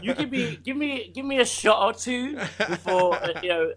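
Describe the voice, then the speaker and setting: Animated male singer, lively chatty. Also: very high voice, normal speed. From United Kingdom. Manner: rambling, repeats words, laughs often. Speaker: singer, interview guest. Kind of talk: casual conversational interview.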